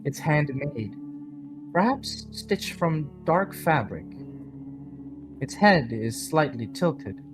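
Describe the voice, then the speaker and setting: A man reading scripted narration for a horror audio drama in a measured, dramatic storytelling manner. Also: on the high side, big pitch swings. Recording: background music under the voice. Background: music.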